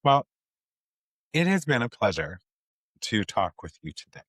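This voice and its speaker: A man's voice: medium pitch, big pitch swings, unhurried, a bit bright, no echo. An American man interviewing a guest casually as a podcast host.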